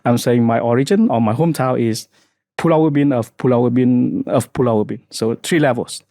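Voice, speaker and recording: A man in his thirties from Vietnam with a Vietnamese accent, talking earnestly on a podcast with a halting flow and many fillers. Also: mid-range voice, dark tone, no room sound, clean studio sound. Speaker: podcast guest, founder. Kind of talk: casual podcast chat.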